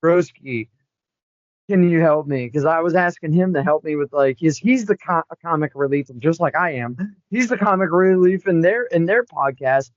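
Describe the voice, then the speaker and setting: Young male co-host, about twenty, excitable, highish voice, dry lo-fi recording. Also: moderate pace, no echo. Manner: excitable, jumps to high shouts. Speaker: podcast co-host. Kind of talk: casual chat. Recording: dull lo-fi sound, dry.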